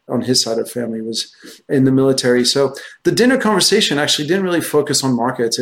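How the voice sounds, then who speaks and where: A middle-aged man who grew up in France with French as his first language speaks as an interview guest. His voice sits at an ordinary male pitch. He explains things in a conversational, discursive way, often by way of anecdote. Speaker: guest, investment research executive.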